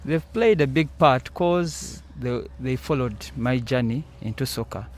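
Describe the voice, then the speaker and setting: A young man from Uganda with a Ugandan accent answering interview questions, earnest and soft-spoken in short phrases. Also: medium pitch, a little slow. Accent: Ugandan English. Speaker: footballer guest.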